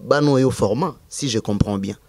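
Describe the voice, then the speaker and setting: A man's voice: medium pitch, normal speed, a little mellow. A man with a Congolese accent interviewing casually, mixing French and Lingala and asking for clarification.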